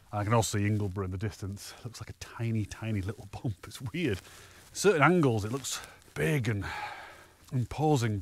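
A man, chatting cheerfully to camera while hiking on an open fell top, often laughing. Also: medium pitch. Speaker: hiking vlogger. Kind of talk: casual walking commentary to camera.

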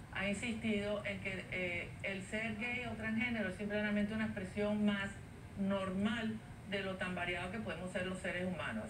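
Woman guest arguing over a video link. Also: mid-range voice. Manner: earnest, measured argument. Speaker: guest advocate. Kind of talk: TV news interview. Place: home studio via video link. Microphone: video call. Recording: roomy, distant, faint noise, played off a TV.

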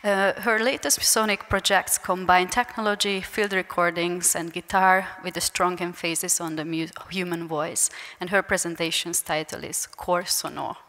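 A woman's voice: lowish voice, fairly even intonation, normal speed, hardly any pauses, pushed and bright. Woman moderator reading an introduction. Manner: reads a prepared bio steadily. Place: festival talk stage in a large hall. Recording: long hall reverb.

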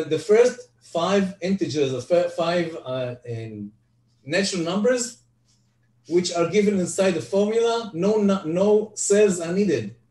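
A man's voice: fairly high pitch, darkish tone. Older man teaching on a video call. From Israel. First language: Hebrew. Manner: halting, frequent restarts and fillers. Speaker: guest presenter. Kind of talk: online Excel lecture. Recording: echoey, distant video call audio.

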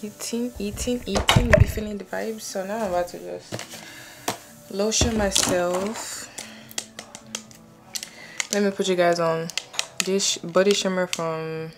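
A woman's voice: mid-range voice, steady tone, measured pace, long pauses, background noise. A young woman chatting casually and informally to camera in a slangy vlog style. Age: young adult. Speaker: vlogger.

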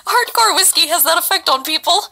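A woman's voice: very high voice, animated, fairly quick, vocal fry, music in the background, full character voice. A woman voicing a cutesy little skeleton, sweetly perky with sly fake concern, in a comic dub. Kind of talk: fan comic dub acting.

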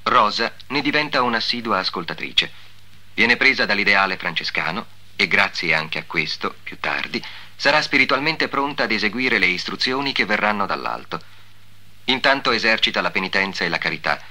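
A man's voice: fairly low pitch, expressive, normal speed, pushed and bright. A man giving a formal, solemn scripted narration in the style of the 1950s.

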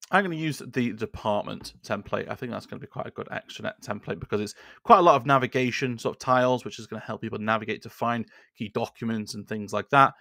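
Gravelly, mid-pitched man teaching software. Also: dry recording, clean studio sound. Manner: relaxed, conversational, with frequent fillers. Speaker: software tutorial host. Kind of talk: screen-share tutorial. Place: small indoor room at a desk. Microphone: studio microphone on a boom arm.

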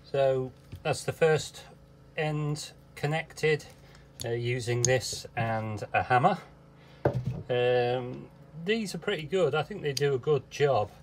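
Man, 50s, boat vlogger, slow easygoing delivery, mid-pitched, lots of ums, phone-camera sound in a cramped cabin. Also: slight background noise. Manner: relaxed, hesitant, many fillers. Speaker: sailing vlogger. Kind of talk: casual how-to vlog. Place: small boat cabin, indoors. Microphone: handheld phone camera.

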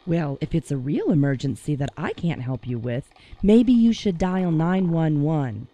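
A woman's voice: sits low, sing-song, a little slow, mellow, dull audio, exaggerated voice. A woman playing a composed, teasing deputy in her early sixties in an audio drama. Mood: wry, calm.

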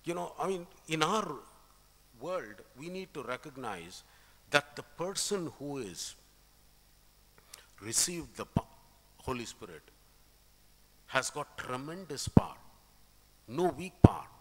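A middle-aged man with an Indian accent preaches an expository sermon, explaining scripture with emphatic, deliberate phrasing. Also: a little high, sing-song. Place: indoor church, at a pulpit. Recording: reverberant church hall sound.

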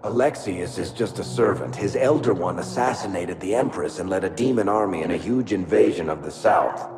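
An actor playing a wry, roguish dwarf in a video game, wisecracking and sardonic. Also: male voice, low-pitched, brisk, background noise, lightly acted. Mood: grim, wry.

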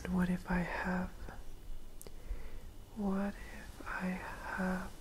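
A woman doing ASMR in a breathy whisper, soothing and repeating short phrases softly. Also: on the low side, completely flat delivery, very slow, a little hiss or hum. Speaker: ASMR host. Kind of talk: ASMR relaxation.

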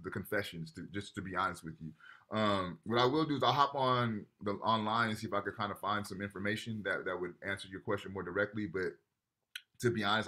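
A man's voice: on the low side, pushed and bright. A man teaching a university class over a video call, talking casually and often checking in with "right?" while reading texts aloud. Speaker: lecturer.

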